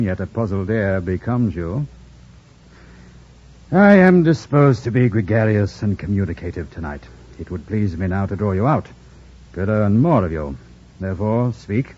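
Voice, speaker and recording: An actor playing a stern, abrupt master of the house near forty in an old radio drama, formal and commanding. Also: male voice, fairly low pitch, darkish tone. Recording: muffled vintage radio broadcast.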